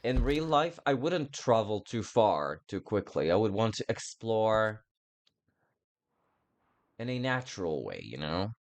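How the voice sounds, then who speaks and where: Low voice guy doing a gameplay stream, rambly, makes fun of himself, pitch goes all over. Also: big pitch swings, measured pace. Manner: rambling, self-mocking. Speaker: video game streamer. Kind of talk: casual playthrough commentary, thinking aloud.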